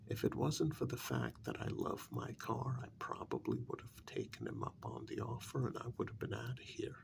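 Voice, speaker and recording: A man playing a bitter, sarcastic kidnapper in a soft-spoken ASMR role-play, halting and half-whispered, with a gravelly voice. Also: mid-range voice, big pitch swings. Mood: smug, accusing. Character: bitter, sarcastic male kidnapper confronting a demon.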